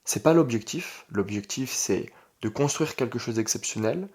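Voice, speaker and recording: Young adult male, medium pitch. Online creator delivering an informal, emphatic solo vlog with repeated key phrases. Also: lively intonation, normal speed, darkish tone, breathy edge. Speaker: online entrepreneur and video creator. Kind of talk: casual vlog monologue.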